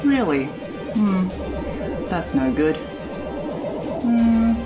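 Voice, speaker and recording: A woman's voice: mid-range voice, expressive, drawn-out words, loud music behind the voice. A woman playing a chatty, genteel Imperial admiral who rambles fondly, in an audio drama. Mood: breezy, fond.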